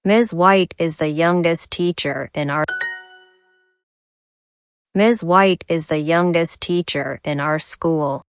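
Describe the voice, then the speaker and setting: A woman's voice: sits low, slow pace, pauses often, a little hiss or hum. A woman reading short language-lesson sentences aloud.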